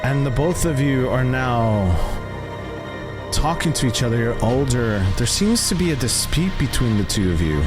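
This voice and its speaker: A man narrating as game master of a tabletop roleplay, deliberate and dramatic, building suspense in each scene. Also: mid-range voice, very animated, music in the background.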